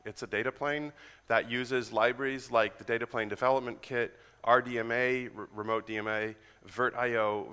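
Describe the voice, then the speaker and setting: A man from the Netherlands with an American accent, giving a quick, casual, joking technical talk at a conference. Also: fairly low pitch, bright, forceful tone, clear, resonant tone. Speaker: conference speaker. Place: conference hall. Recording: reverberant conference hall.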